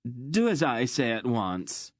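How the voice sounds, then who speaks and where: A man's voice: mid-range voice, very animated, slow pace, no room sound, studio quality, pitched above the performer's own voice. Man doing a petulant, whining spoiled young man. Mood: incredulous, indignant.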